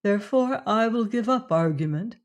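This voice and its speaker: Narrator doing a proud young heroine, higher and louder than the narration, quick to flare; storybook reading. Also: lively intonation, lightly acted. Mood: cold. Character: proud, grieving young woman, fierce temper. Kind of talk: audiobook character voice.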